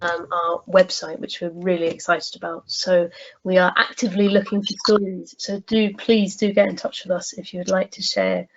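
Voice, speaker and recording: Even-toned woman, slightly low voice. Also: normal speed. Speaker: guest presenter. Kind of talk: informal webinar presentation. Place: online video call. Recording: dull, noisy online call with clicks.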